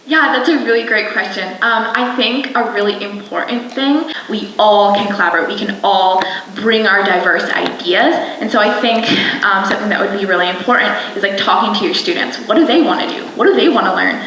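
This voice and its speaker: A woman's voice: mid-range voice, fairly quick, hardly any pauses, a bit bright. A teenage girl on a student panel, answering casually with many likes and ums. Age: teens. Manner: casual, filler-heavy. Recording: very echoey room with hiss.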